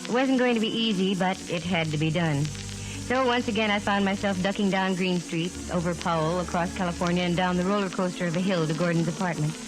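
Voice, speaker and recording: A woman's voice: lowish voice, a little fast, natural voice, poor audio. An American actress playing a wisecracking yet tender female private eye in a 1940s radio drama, both acting and narrating. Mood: wry, steeled. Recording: old 1940s radio recording, music behind.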